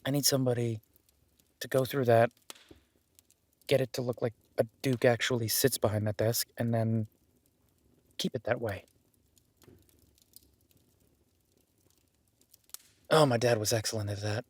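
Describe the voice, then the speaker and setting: A man's voice: medium pitch, fairly even intonation, moderate pace, long pauses, no echo, lightly acted. A man playing an awkward, self-deprecating young werewolf duke in a roleplay audio drama, stammering and apologetic, speaking informally to the listener. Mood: sheepish, candid.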